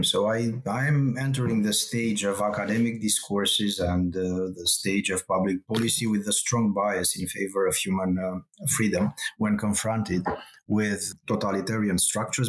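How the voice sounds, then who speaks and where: A middle-aged man with a Romanian accent, a guest scholar answering interview questions in a measured, halting way with many pauses and fillers. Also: fairly low pitch.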